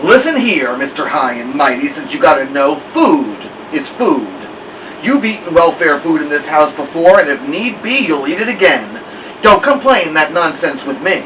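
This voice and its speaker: A man's voice: a little high, big pitch swings, normal speed. A man voicing a stern, fed-up mother scolding her teenage son in a storybook read-aloud, firm, clipped and emphatic. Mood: angry, scolding. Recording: muffled lo-fi, distant mic, loud hiss.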